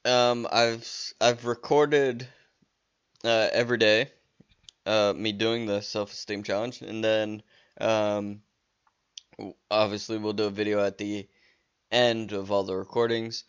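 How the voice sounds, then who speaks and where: Halting, slow-talking man losing his voice. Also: fairly low pitch, level tone, clear, resonant tone, highs cut off. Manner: rambling, halting, with many restarts and fillers. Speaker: podcast host. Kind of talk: casual solo podcast talk.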